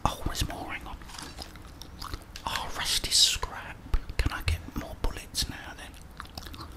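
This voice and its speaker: Whispering young man, casual game commentary. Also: takes it slowly. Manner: casual muttering to himself. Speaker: gaming and ASMR video host.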